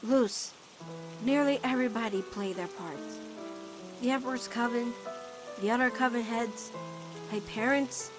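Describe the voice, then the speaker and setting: A woman voicing a caring, steadfast teenage witch girl in an animated-style comic dub, reasoning firmly and tenderly. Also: fairly high pitch, a little slow, long pauses, music in the background, close to an everyday voice.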